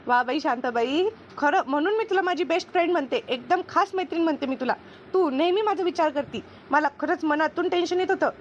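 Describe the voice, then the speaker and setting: Cartoon village best friend, played by a woman: high, quick, homely, chatty, rural Maharashtrian accent. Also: muffled recording, background noise, close to an everyday voice. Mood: grateful, chatty. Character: slower-moving village housewife Renu, grateful, chummy best friend. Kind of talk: comedy cartoon dubbing.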